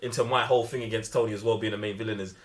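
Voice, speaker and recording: A man's voice: on the low side, steady tone, racing. A young man with a London accent chatting casually about films in heavy slang, opinionated and emphatic, often repeating himself for effect. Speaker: movie review podcast host.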